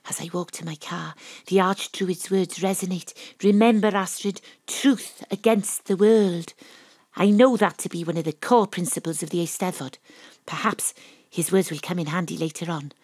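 An actress playing a wry, dogged private detective with a Welsh accent and a husky voice, in audio drama narration and dialogue. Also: female voice, lowish voice, normal speed, darkish tone, no room sound. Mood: wry, reflective.

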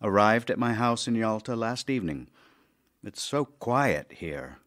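An actor playing a middle-aged Russian playwright in an audio drama, wry and lovesick, with theatrical, deliberate pauses. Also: male voice, lowish voice, sing-song, fairly bright tone. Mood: wry, tender. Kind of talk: theatrical audio drama, reading love letters.

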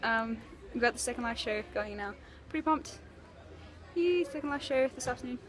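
A woman's voice: on the high side, lively intonation, normal speed. A young adult woman with an Australian accent, chatting casually and playfully into a handheld phone as a video diary. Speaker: vlogger. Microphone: phone at arm's length.

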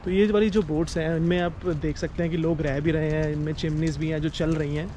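A man's voice: fairly high pitch, level tone, fairly quick, music in the background. A man from India giving casual commentary to viewers while he walks outdoors along a canal. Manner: explains things to viewers while walking. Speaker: vlogger. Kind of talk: casual walking-tour commentary.

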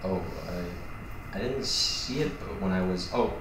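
A man's voice: low-pitched, measured pace, fairly bright tone. Man acting as a sheepish roommate, very echoey room. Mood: nervous, guilty. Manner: hesitant, stammering. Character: sheepish, guilty male roommate confessing odd habit, desperate. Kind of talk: naturalistic acted scene. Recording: distant echoey room, clear background noise.

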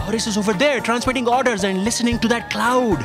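A man's voice: very high-pitched, fast. Man playing fussy assistant, middle-aged, theatrical explainer, stage drama, music behind. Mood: explanatory. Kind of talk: staged audio drama acting. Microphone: stand microphone on a theatre stage.

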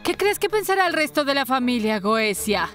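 A woman voices a cartoon demon noblewoman, a spiteful and haughty wife with a Latin American accent. Her voice is notably high, sharp with contempt and imperious. Light music sits underneath. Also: sing-song, normal speed. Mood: vindictive, outraged. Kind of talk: animated series fan dub acting.

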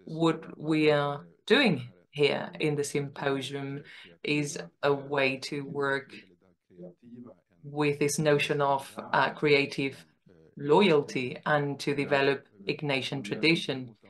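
A man from Sweden, commenting from the floor of a panel discussion in a conference hall, deliberate and a bit provocative. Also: fairly high pitch, flat delivery, a bit bright. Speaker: audience member. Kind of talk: question from the floor.

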